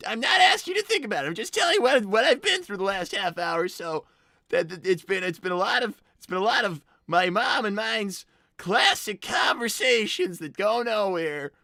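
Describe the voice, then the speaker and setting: Exasperated young fox boy, cartoon played by an adult, bright and creaky, argumentative, stammering, close dry sound. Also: mid-range voice, lively intonation, moderate pace, no room sound. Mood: agitated. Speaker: host of a live character stream. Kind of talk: improvised in-character chat, reading donations.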